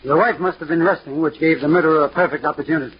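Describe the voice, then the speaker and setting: A husky methodical male police inspector from an old radio mystery. He is formal and businesslike, with a fairly high voice. The vintage recording is dull. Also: rapid-fire. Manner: matter-of-fact, formal.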